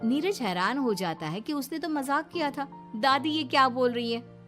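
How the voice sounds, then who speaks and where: A woman's voice: high voice, lively intonation, normal speed, a bit bright. A woman giving scripted narration of a moral story as its narrator. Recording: music behind the voice.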